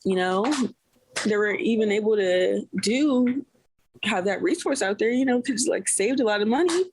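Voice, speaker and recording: A woman's voice: medium pitch, animated, normal speed, a little hiss or hum, rough recording. Rambling woman full of fillers. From San Francisco Bay Area, USA. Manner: rambling, many fillers like "you know". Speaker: guest, cloth diaper brand owner. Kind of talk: casual interview chat.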